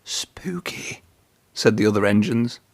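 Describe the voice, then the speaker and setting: A man reading a children's story aloud in an expressive narrating style. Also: medium pitch, normal speed, gravelly, no room sound.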